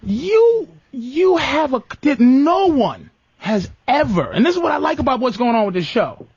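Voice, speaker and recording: High-pitched, animated man hosting talk radio. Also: normal speed, slightly rough, no room sound, muffled recording. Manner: teasing, interrupting, repeats himself, profane. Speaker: radio show host. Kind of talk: unscripted, heated comedy talk radio banter.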